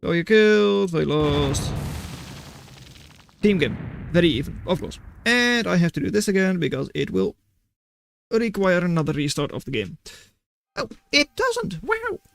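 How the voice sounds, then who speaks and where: High-pitched male game caster. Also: wildly swooping pitch, a little slow, creaky. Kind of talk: live esports-style replay commentary, chatting with viewers.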